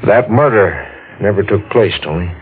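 A male actor plays a matter-of-fact police sergeant in a 1940s radio drama. He speaks low, in a measured, dry way. The recording is phone-thin with faint noise. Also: expressive, phone-quality sound, close to an everyday voice.

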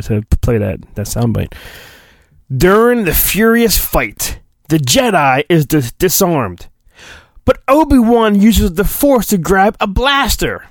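A man's voice: fairly high pitch, no echo. A male podcast host giving a mock-dramatic storybook reading, with hammy emphasis and rambling joking asides. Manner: hammy, exaggerated emphasis, digressive.

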